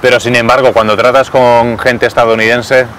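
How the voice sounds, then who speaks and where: Male travel reporter, accent from Spain, average pitch, conversational interviewing outdoors with slight urban noise. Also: flat delivery, moderate pace, fairly bright tone. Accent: Spanish from Spain. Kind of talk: casual on-location interviewing. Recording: on-location, faint urban traffic noise.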